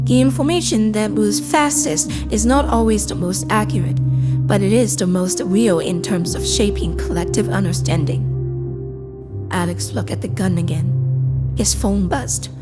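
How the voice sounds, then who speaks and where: A woman playing a calm, impassive AI assistant, narrating a scripted audio drama in a measured, detached, deliberate way. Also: mid-range voice, close to an everyday voice. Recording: cinematic music behind. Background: cinematic score.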